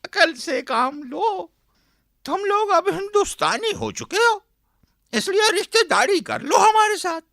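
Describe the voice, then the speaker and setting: A gloating old father and moneylender in a radio play voiced by a woman. The voice is very high and swoops wildly, with a creaky edge. The lines are oily and drawn out, full of chuckling mockery, in a dry recording. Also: sing-song, a bit bright, no room sound, heavily acted. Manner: drawn-out, sing-song wheedling with chuckles. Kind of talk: radio drama acting.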